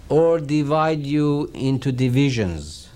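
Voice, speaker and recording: A middle-aged man with a Persian accent teaches and explains scripture in a slow, measured, reverent manner. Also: mid-range voice, sing-song, darkish tone. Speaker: Quran teacher. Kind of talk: recorded religious lecture.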